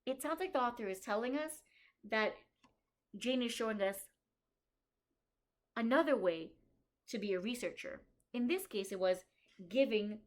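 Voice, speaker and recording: A kindergarten teacher, a woman, reading a picture book aloud to young children and stopping to think aloud and explain what the author and illustrator show. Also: medium pitch. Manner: slows down and stresses key story lines.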